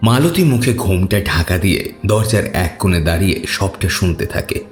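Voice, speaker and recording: A man narrates a horror audio story in a deep voice. His delivery is scripted, measured and full of suspense. Music plays behind him. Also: expressive.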